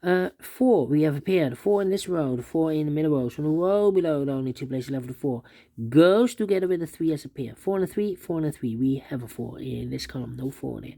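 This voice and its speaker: A man's voice: fairly high pitch, lively intonation, fast, gravelly, dark tone. A man explaining a sudoku step by step, thinking aloud and repeating the numbers to himself. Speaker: sudoku instructor. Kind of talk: thinking aloud while solving a puzzle.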